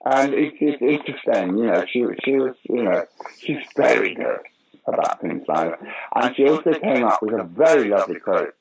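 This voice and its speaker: A man's voice: medium pitch, lively intonation, normal speed, gravelly, darkish tone, slight background noise, low-quality recording. A man in his sixties being interviewed about his acting career, telling stories animatedly, often restarting and putting on the voices of people he quotes. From United Kingdom. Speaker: actor and director being interviewed. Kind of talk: casual interview chat.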